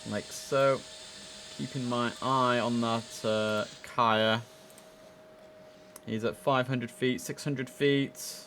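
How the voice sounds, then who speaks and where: Chatty man over clear background noise. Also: mid-range voice, fairly even intonation, takes it slowly, long pauses, a bit bright. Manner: chatty, informal, thinks aloud. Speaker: streamer playing an air traffic control simulator. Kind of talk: casual live gameplay commentary.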